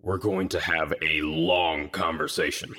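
A woman voicing a menacing, angry young man who commands in an unearthly, hissing low growl. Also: expressive, moderate pace, slightly rough, heavily acted. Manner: menacing, forceful.

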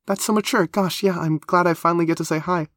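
An excitable teenage monster girl from an audiobook, acted out by a man. The pitch is lifted far up and the delivery is breathless and emphatic. She gushes and stammers nervously. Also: fairly quick, dry recording, studio quality, natural voice. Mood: gushing. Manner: gushing, flustered, stammering, emphatic. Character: excitable, nervous teenage girl creature with barbed-wire hair.

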